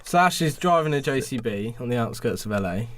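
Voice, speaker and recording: A man's voice: mid-range voice, lively intonation, brisk. A man co-hosting a podcast in casual, jokey improvised chat, often prompting with questions. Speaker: podcast co-host.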